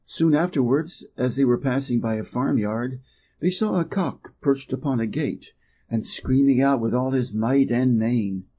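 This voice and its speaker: Husky, mellow American male storyteller. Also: mid-range voice, a little slow. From Wisconsin, United States. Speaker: storyteller, English reading teacher. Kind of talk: scripted fairy tale narration for learners.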